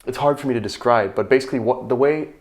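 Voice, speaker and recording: A man's voice: medium pitch, quick pace. A Danish man speaking English as a second language, a guest on a podcast, talking in a reflective way, searching for words and laughing easily. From Denmark. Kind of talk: casual conversation.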